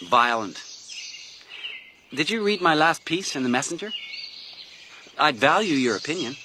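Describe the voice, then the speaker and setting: An actor playing an inquisitive, self-assured newspaper reporter, pressing and over-familiar, in a detective radio drama. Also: male voice, fairly high pitch, animated, a little slow, background noise. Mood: insistent, familiar.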